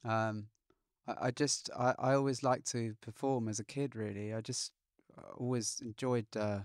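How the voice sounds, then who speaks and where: A man with a mid-pitched voice talks in a halting, offhand way, gently putting himself down. He is an actor in a casual interview, recorded dry with no room sound. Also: steady tone, no room sound. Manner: self-deprecating, hesitant, offhand.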